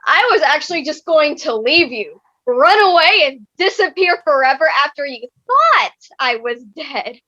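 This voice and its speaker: A woman's voice: sits high, big pitch swings, measured pace, hardly any pauses, pushed and bright, highs cut off, full character voice. A woman playing a bitter, sarcastic young wife in a comic staged reading, with melodramatic exasperation. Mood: annoyed.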